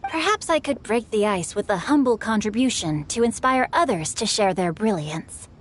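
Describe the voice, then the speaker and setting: A woman voicing a refined, sociable young gentleman poet in a video game, with a courteous literary manner. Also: mid-range voice, expressive, normal speed, slight background noise, lightly acted. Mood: genial, polished.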